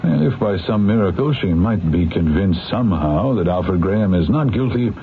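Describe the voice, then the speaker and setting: A man's voice: low-pitched, expressive, normal speed. A man playing a shrewd, candid defense attorney in a radio mystery drama, with a wry, conversational manner. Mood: explaining, knowing. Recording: tinny, noisy old radio recording.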